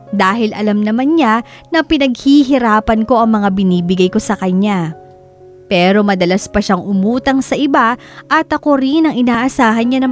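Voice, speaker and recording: A woman's voice: on the high side, lightly acted. A young woman playing a sorrowful daughter, narrating her family life in a confiding, reflective Filipino-accented voice. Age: young adult. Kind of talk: first-person scripted drama narration.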